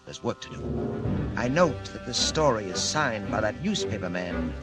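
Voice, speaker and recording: Haughty radio-serial villain over loud music. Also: male voice, lowish voice, lively intonation, a little slow. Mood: stern, businesslike. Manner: formal, commanding, condescending. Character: cold, imperious male villain and criminal mastermind. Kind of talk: 1940s radio acting. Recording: old radio recording under loud music.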